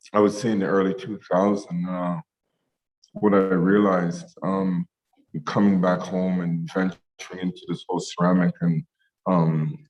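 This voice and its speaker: A man from Jamaica speaking as a ceramic artist in an online meetup interview, halting with many fillers and restarts. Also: sits low, darkish tone, low-quality recording.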